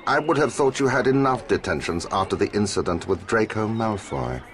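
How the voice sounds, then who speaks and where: An actor with an English accent playing a cold, sneering, middle-aged wizard schoolmaster in a game, with a contemptuous drawl. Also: male voice, on the low side, lively intonation, a little fast, close to an everyday voice. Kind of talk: video game voice acting.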